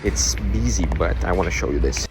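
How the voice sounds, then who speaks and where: A man's voice: low voice, fairly quick. A man with a Ukrainian accent, chatting casually through a vlog while working on a cruise ship. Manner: chatty, slightly broken English, upbeat. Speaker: assistant cruise director vlogging. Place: on a cruise ship, often on open deck. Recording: music playing behind the voice.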